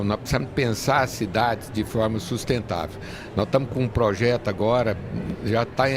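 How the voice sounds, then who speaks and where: A middle-aged man, explaining things earnestly in a conversational interview, often rephrasing as he goes. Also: mid-range voice. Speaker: real estate industry association leader, podcast guest. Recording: busy trade fair background noise. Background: urban fair ambience.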